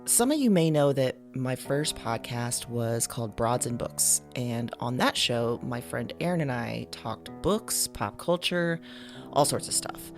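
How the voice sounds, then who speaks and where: A woman's voice: very deep voice, expressive, unhurried, faint music behind. A woman podcast host talking casually to listeners, introducing shows and chatting.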